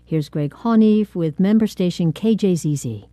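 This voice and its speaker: A woman's voice: lowish voice, normal speed, heavy background noise. A woman reading a scripted news introduction as a radio host.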